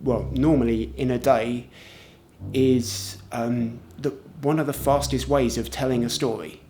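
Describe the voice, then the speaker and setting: A man's voice: medium pitch, steady tone, measured pace, a little mellow, a little hiss or hum. A man explaining how things are done, in informal interview talk. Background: instrumental music.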